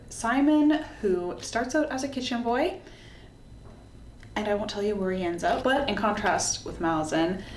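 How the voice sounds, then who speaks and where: A woman hosting a casual book review video, talking in a conversational, explanatory way. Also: medium pitch. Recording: echoey room with faint background noise.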